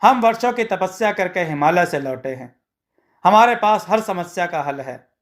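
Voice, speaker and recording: A high-pitched, pompous fake holy man from a moral tale, acted out by a male storyteller. He is a lazy farmer in disguise, boasting grandly and ordering people about. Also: lively intonation, moderate pace. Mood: pompous, boastful. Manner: pompous, bossy.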